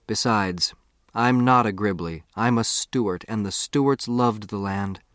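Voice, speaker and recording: A man's voice: fairly low pitch, measured pace, fairly bright tone, dry recording, studio quality. A man voicing a firm, loving, proud mother in an audiobook story.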